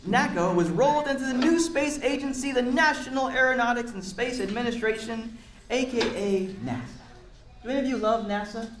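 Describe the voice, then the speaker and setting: High-voiced man reading aloud, echoey room. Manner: expressive, encouraging, chatty with questions to listeners. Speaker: storyteller reading a picture book aloud to children. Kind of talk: animated read-aloud with asides to a young audience. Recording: echoey room, distant mic, audience sounds.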